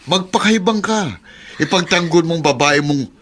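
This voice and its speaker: A man's voice: high-pitched, animated, normal speed, fairly bright tone. An actor playing a scornful, sarcastic, moralizing man in a Filipino radio drama, sneering with contempt. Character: scornful, sarcastic male cousin, moralizing and cruel.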